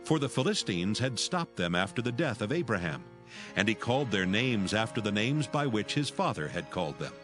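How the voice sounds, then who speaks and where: Low-voiced male scripture narrator over music. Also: very animated, a little fast. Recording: music behind.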